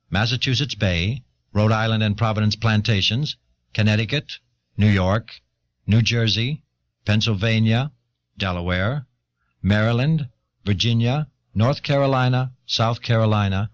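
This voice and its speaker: A male actor gravely proclaiming an eighteenth-century treaty with ceremonial emphasis in an audio documentary. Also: lowish voice, normal speed, close to an everyday voice. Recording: dull old cassette audio.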